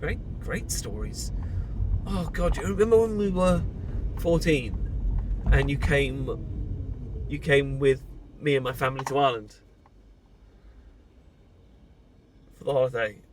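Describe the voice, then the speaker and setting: A man's voice: mid-range voice, lively intonation, a little slow, background noise, natural voice. A British man acting a heartbroken, newly separated friend in an intimate audio roleplay, stammering and self-deprecating as he shyly confesses an old love. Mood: rueful, amused, then fond, nostalgic. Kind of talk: intimate audio roleplay, naturalistic monologue to the listener.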